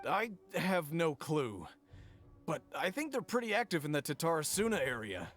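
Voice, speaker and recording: A shaken, nervous merchant in a video game. His voice is on the high side for a male, timid and cautioning, with faint music underneath. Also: very animated, moderate pace, a bit bright. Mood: worried. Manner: anxious, cautioning.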